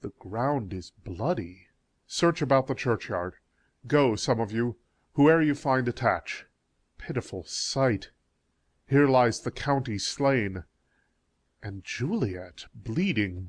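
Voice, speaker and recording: Man playing commanding night watchman, slow deliberate pace, dry recording, grave Shakespearean drama. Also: mid-range voice, lively intonation, breathy edge, dry recording. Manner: deliberate, authoritative delivery. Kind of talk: theatrical Shakespearean audiobook acting.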